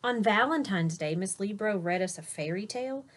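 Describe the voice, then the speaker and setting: A woman's voice: medium pitch, normal speed. Woman reading a children's storybook aloud. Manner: expressive reading with explanatory asides. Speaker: teacher reading a picture book aloud.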